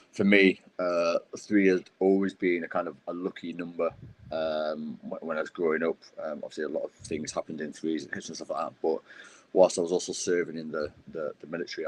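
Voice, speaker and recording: A man answering podcast interview questions as a guest, speaking haltingly with many fillers and restarts. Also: rumbling low, a little hiss or hum, poor audio. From United Kingdom. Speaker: guest, wheelchair rugby player.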